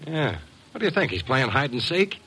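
An actor playing a blunt, excitable, streetwise client with slangy talk in an old-time radio detective drama. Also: male voice, medium pitch, brisk, slight background noise. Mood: edgy. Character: edgy, blunt male client, streetwise gambler.

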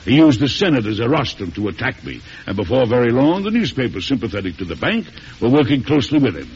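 An actor playing a proud, stubborn older American president in a folksy, emphatic old radio drama style. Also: male voice, medium pitch, big pitch swings, quick pace, a little mellow, slightly rough, full character voice. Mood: reflective. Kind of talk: 1940s radio drama acting, narrating and playing. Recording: hissy, dull old radio recording.

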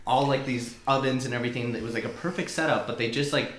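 A man co-hosting a podcast, telling a story in a relaxed, chatty way full of fillers. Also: medium pitch, fast talker. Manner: relaxed, filler-heavy talk. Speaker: podcast co-host. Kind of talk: casual chat. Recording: echoey room with faint noise.

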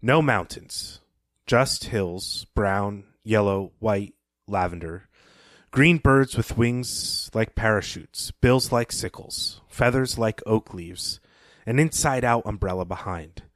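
Low-voiced man reading slowly. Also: fairly bright tone, no echo, studio quality. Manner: slow, measured reading of prose. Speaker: podcast co-host. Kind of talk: casual book discussion and reading aloud.

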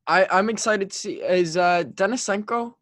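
A man's voice: high voice, moderate pace, fairly bright tone, no echo, studio quality. A French-speaking man co-hosting a casual sports podcast, talking in an emphatic, hyperbolic way. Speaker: hockey podcast co-host.

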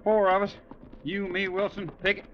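An actor playing a young, brash Old West outlaw leader in an old-time radio western, talking in clipped cowboy phrases. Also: male voice, high-pitched, flat delivery, moderate pace, slight background noise, lightly acted. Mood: tense, challenging. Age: young adult. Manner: clipped, terse cowboy talk. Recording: muffled old radio recording.

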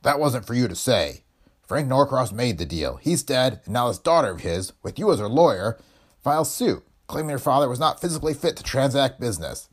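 Man reading as a cold fifties businessman, sharp, mocking, raised and lively voice, husky edge. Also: medium pitch, fairly quick, pitched above the performer's own voice. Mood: sharp, angry.

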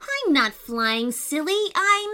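A spirited, teasing little sister from a storybook , played by a woman, with a high and very lively voice. Her lines come out as squeals and exclamations over music. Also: slow pace, heavily acted. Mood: squealing. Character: spirited young girl, sceptical teasing sister.

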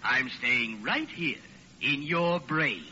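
A man's voice: medium pitch, expressive, sharp tone, exaggerated voice. An actor playing a man's nagging inner conscience in 1940s radio comedy, prim, patient and moralizing. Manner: admonishing, deliberate, moralizing. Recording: muffled, noisy old radio recording.

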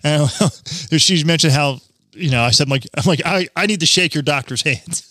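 Podcast co-host, male, crude joker, bright animated delivery, dry close sound. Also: on the high side, no room sound. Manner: loud joking, profane, quick laughs. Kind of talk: crude casual banter.

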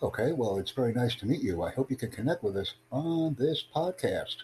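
A man hosting a livestream podcast, addressing callers in a deliberate, instructive way. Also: mid-range voice, animated, a little mellow. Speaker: podcast host. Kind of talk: livestream chat with online callers. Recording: clearly noisy, humming background.

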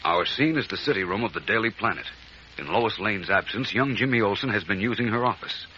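A man's voice: lowish voice, animated, brisk, bright, forceful tone, slight background noise. A man narrating a 1940s radio serial as its announcer, in a dramatic scripted style. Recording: dull vintage radio recording.

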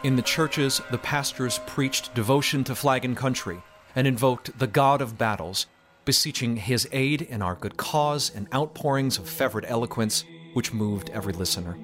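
A man's voice: medium pitch, moderate pace, a bit bright. Guy reading an old literary story dramatically, audiobook style, music playing behind him. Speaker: narrator. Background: background music.

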